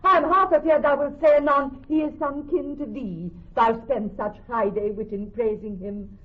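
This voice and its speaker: Noble lovestruck heiress, muffled radio sound. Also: female voice, sits high, steady tone, normal speed. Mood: playful, eager. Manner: formal, theatrical verse delivery. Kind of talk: classical Shakespearean radio acting. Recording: muffled, band-limited old radio recording.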